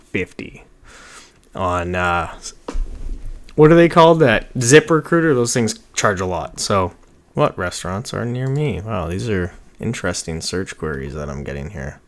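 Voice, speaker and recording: A man's voice: low-pitched, lively intonation, measured pace. A man in his thirties giving an informal screen-share tutorial, thinking aloud in a rambling way as he works at his computer. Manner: rambling, informal, muttering to himself while working. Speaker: online marketing tutorial host. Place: small indoor room at a computer.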